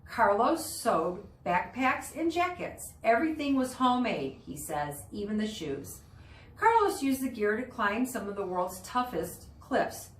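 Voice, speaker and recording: A woman's voice: on the high side, fairly bright tone. Woman reading aloud, distant and echoey. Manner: explanatory, pauses to explain words and pictures. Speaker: teacher reading aloud to students. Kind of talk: read-aloud of a children's nonfiction book. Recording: roomy, distant mic with background noise.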